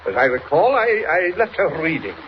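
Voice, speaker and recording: Older gentleman scientist, genteel, evasive, easily affronted; animated intonation, vintage radio drama with audible noise. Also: fairly high pitch, moderate pace. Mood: hesitant, explaining. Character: absent-minded, evasive, fussy male scientist, meteorologist. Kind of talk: 1940s radio drama acting. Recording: muffled, noisy vintage radio recording.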